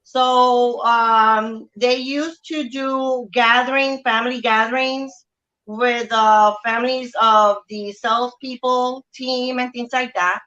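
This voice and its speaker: A middle-aged woman with a Puerto Rican accent shares personal memories as a guest. Her voice is fairly high and bright, and she takes her time. The sound is a slightly muffled video call. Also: steady tone, takes it slowly. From Puerto Rico. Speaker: interview guest. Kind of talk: casual personal recollection. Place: outdoor family courtyard. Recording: dull lo-fi video call audio.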